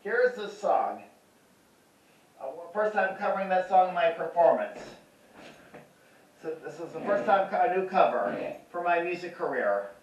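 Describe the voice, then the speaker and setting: A man in his thirties rehearsing folk songs on acoustic guitar at home, giving slow, halting introductions between songs. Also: high-pitched, sing-song. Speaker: folk musician. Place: small bedroom, indoors. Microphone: far camera. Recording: roomy, distant, dull camera audio.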